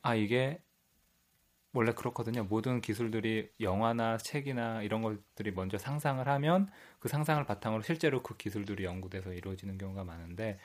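A man's voice: on the low side, a little fast. A man hosting a talk show, speaking reflectively and thinking aloud. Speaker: host. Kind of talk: casual podcast talk.

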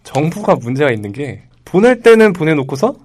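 Sex: male. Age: 20s. Style: lively panel debate. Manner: quick and animated, pitch jumping about, slightly high voice. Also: husky. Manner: animated, acts out other people's reactions.

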